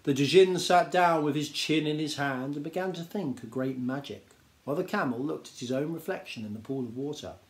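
A man, reading a children's story aloud in an expressive, measured way. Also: fairly high pitch. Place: small indoor room with bookshelves.

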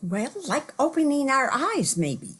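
A woman's voice: high-pitched, big pitch swings, unhurried, slightly rough, exaggerated voice. A woman playing a sweet, coy sweetheart in an amateur old-time radio play. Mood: coy, romantic. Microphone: computer on video call.

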